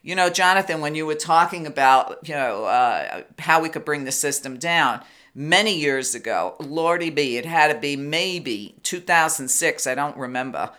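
A woman hosting a radio talk show, with a loud voice, telling stories with emphatic, quick asides. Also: low-pitched, animated. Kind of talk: casual radio interview.

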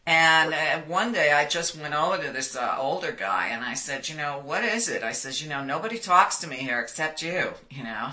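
A bright, forceful woman in her sixties tells her stories loudly and with plenty of animation. She laughs easily as she talks, and her voice carries. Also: sits low, moderate pace, hardly any pauses. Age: 60s. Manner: loud, animated storyteller who laughs often. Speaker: guest. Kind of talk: conversational interview.

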